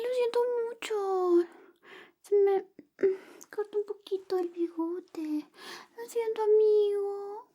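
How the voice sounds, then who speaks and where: A woman speaking softly and often whispering in an ASMR roleplay, playing an affectionate, playful best friend. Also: very high voice. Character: affectionate, clumsy female best friend giving a shave. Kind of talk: ASMR personal attention roleplay.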